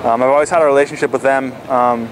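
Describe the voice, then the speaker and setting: A man's voice: mid-range voice, fairly even intonation, fast talker, noisy background. Laid-back teenage guy, lots of ums. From Florida. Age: teens. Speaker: stand-up paddle athlete. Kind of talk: casual interview answers.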